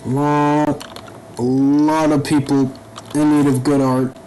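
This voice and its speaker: A young man giving casual, rambling commentary while playing a game, halting and swearing now and then. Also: on the high side, level tone, dark tone, slight background noise, low-quality recording. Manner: rambling, halting, profane asides. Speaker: gaming YouTuber. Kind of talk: casual let's-play commentary.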